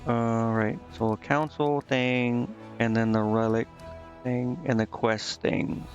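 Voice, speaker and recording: A man streaming a game, casually reading on-screen text aloud and thinking out loud in a halting, puzzled mutter. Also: mid-range voice, flat delivery, dull audio. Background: game music.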